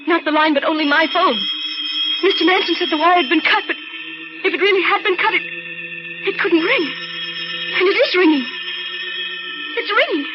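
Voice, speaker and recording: A woman's voice: extremely high pitch, normal speed, pauses often. A woman playing a frightened, trapped woman in a 1940s radio thriller, hesitating and repeating herself anxiously. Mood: rising fear. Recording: muffled vintage radio, loud music behind.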